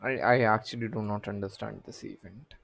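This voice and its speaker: A man doing casual live game commentary, halting and muttering to himself as he streams. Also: lowish voice, steady tone, gravelly, fairly bright tone.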